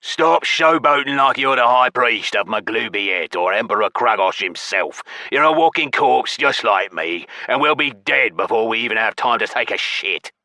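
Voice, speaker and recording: A man voicing a foul-mouthed, mocking goblin thief with a deep, hoarse growl and a coarse, slangy drawl. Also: expressive, moderate pace, hardly any pauses, pushed and bright, dry recording. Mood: menacing.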